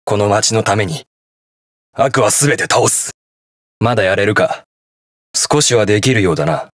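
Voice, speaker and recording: Game hero: stern young police detective in armor, gruff and defiant, very animated pitch, dry recording. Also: male voice, moderate pace, no room sound. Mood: cool, menacing. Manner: gruff, terse, defiant delivery. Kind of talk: video game battle voice lines in Japanese hero-show acting.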